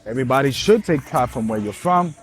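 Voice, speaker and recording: A man's voice: medium pitch, lively intonation, fast. A man from Puerto Rico, hosting a podcast in a loose, emphatic, street-casual way at an outdoor street festival. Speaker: podcast host. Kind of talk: casual solo podcast monologue. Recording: faint festival music in the background. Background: faint music.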